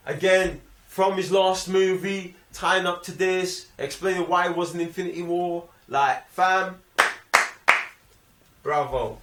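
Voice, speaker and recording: A young man with a London accent chatting casually about a film in an animated, slangy way. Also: high voice, slow pace. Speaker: film review show host. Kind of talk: casual movie review chat. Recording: roomy, distant microphone.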